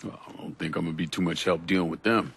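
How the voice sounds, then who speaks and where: A man's voice: low voice, fairly even intonation, fast, vocal fry, fairly bright tone, a little hiss or hum. A man playing a grim, businesslike criminal in a cinematic game, terse and cool. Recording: music behind the voice.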